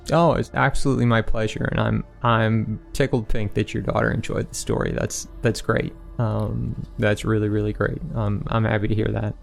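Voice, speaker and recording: A man's voice: on the low side, level tone, moderate pace, vocal fry, hardly any pauses, faint music behind. A man speaking as a guest author in a casual interview, explaining his process with rhetorical questions and quoted asides to himself. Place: remote online recording session.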